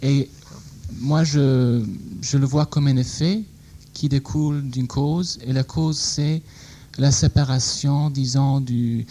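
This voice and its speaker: Man, mellow, hesitant. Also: mid-range voice, steady tone, slow pace. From Vancouver, Canada. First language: English. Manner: hesitant, many fillers like "disons". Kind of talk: audience question at a talk.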